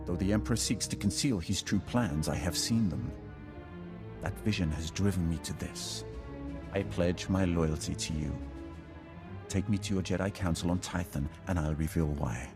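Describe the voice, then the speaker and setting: An actor playing a grave, proud Sith lord in a video game, making measured, ominous declarations. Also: male voice, low-pitched. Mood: solemn, insistent. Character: grave Sith lord defector, proud, coldly calculating seer. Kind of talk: dramatic video game acting. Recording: loud music behind the voice.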